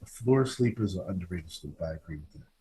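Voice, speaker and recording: A man's voice: low-pitched, expressive, brisk, mellow. A man hosting a podcast in casual conversation, jokey and repeating phrases for emphasis. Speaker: podcast host. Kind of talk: casual chat.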